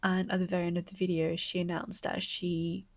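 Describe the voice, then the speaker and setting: A young woman knitting vlogger, congested from a cold, chatting excitedly to camera and sometimes slipping into a soft whisper. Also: on the low side, fairly quick. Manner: gushing and excitable, often dropping to a soft whisper. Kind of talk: casual vlog chat to camera.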